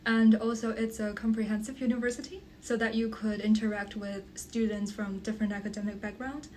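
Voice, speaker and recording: A woman's voice: on the high side, steady tone, a little fast, a little mellow. A young woman from China, a native Mandarin speaker, explaining things conversationally as a student guest. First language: Mandarin Chinese. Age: young adult. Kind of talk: casual explanatory chat. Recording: faint background noise, room ambience.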